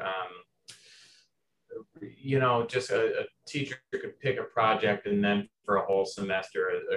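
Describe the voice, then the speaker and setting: A young man from Southern Indiana talks in a low voice, rambling through his answers with plenty of fillers and restarts. There's a faint hiss of noise behind him. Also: fairly bright tone, low-quality recording. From Southern Indiana, USA. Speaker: musical theater writer. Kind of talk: casual interview answers.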